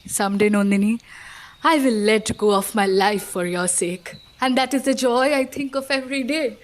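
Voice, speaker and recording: A woman's voice: a little high, normal speed, natural voice. A woman playing a devoted, melancholy gold digger in an audio play, speaking in a tender, earnest, poetic way. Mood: wistful devotion.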